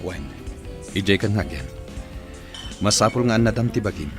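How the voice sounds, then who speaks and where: Man acting animated Ilocano two-timing husband. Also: lowish voice, moderate pace, over music, close to an everyday voice. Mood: indulgent. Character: cheating married man, indulgent and easily flustered. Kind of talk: Ilocano radio comedy drama acting.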